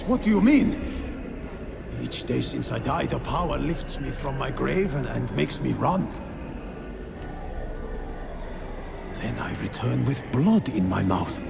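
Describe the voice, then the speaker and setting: An actor playing the remorseful ghost of a peasant-born squire, mournful and halting, in a video game. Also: male voice, mid-range voice, creaky, background noise. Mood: tormented. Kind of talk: dramatic video game acting.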